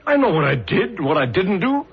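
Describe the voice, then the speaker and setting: An actor playing a desperate middle-aged man, agitated and pleading his innocence in a radio drama, with a raspy, strained voice. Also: sits high, sing-song, moderate pace, heavily acted. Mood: desperate, indignant. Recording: muffled old radio drama recording.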